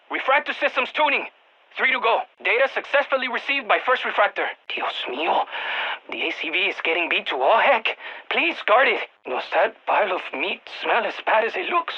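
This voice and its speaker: A quirky, excitable field scientist in a video game, calling out to a strike team. His husky voice swings widely in pitch with a Spanish accent, through a slightly dull radio filter. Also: exaggerated voice. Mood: exasperated. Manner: animated, exclamatory, peppers speech with Spanish interjections. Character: excitable, quirky male exogeologist supporting a military strike team. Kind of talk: video game voice acting, mission radio callouts.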